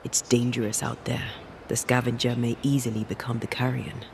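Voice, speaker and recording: A man playing a grave, watchful ghost-hunting companion in a video game, speaking in measured, ominous, formal phrases. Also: medium pitch, little pitch movement, creaky, noisy background, lightly acted. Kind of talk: dramatic video game dialogue.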